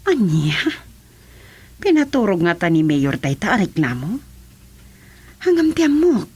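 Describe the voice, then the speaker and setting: A woman's voice: lowish voice, big pitch swings, unhurried, a little mellow, husky, no room sound, full character voice. A Filipino woman playing an inquisitive madam in a radio drama, with emphatic, questioning delivery. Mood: concerned.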